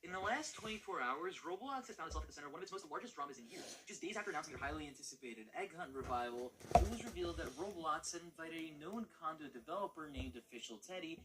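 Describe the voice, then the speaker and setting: A man narrating a scripted commentary video in a brisk, dramatic manner. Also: fairly high pitch, animated, heavy background noise. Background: music.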